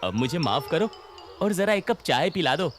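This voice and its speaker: A man's voice: a little high, sing-song, moderate pace, over music. A man voicing a weary, sarcastic village husband in a children's cartoon story, grumbling with exasperation. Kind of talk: animated children's moral story dialogue.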